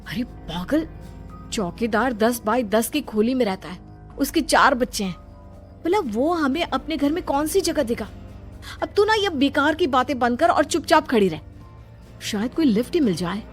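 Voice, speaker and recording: A woman's voice: high-pitched, lively intonation, normal speed, faint music behind, voice pushed up. A woman voicing a bossy, irritable young office worker in a cartoon horror story, scolding and dismissive. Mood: exasperated. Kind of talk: animated Hindi horror story dubbing.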